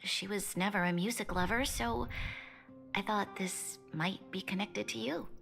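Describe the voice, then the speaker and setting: An actress voicing a composed young woman leader who speaks formally, politely and softly. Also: mid-range voice, a little slow, vocal fry, soft background music. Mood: gentle. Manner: formal, polite and soft-spoken. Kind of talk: game voice acting.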